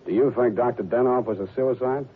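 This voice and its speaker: An actor playing a blunt, wry American private detective, clipped and world-weary, in old radio drama style. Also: male voice, fairly quick, breathy edge, close to an everyday voice. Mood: impatient. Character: hard-boiled private detective, blunt, wry, impatient man. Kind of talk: 1940s radio detective acting. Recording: dull, noisy old radio recording with rumble.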